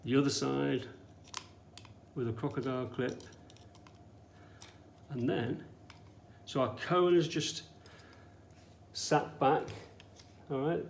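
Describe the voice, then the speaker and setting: Man, science teacher, medium pitch, informal demonstration, explanatory, short check-in questions, echoey room recording. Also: slow, creaky, long pauses. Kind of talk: informal hands-on demonstration lesson. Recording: echoey room with faint background noise.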